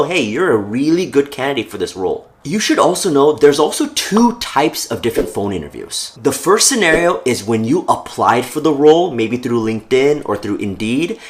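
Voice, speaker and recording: A man hosting a career advice video, speaking to camera as a coach in an upbeat, energetic, emphatic and informal conversational way. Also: mid-range voice.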